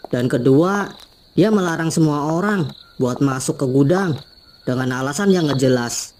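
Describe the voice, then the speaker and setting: A curious, self-assured young student from a cartoon, played by a man, speaking in casual slang. His voice sits fairly high yet sounds mellow, with faint hiss beneath it. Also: normal speed, close to an everyday voice. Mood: explaining. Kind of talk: cartoon voice acting.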